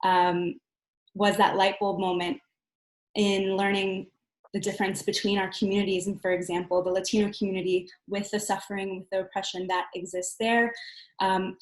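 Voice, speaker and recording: A woman giving a personal account as a panel guest, earnest and reflective, often halting to rephrase herself. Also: medium pitch. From Southern California, United States. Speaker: panel guest, community coordinator. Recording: roomy, echoey sound.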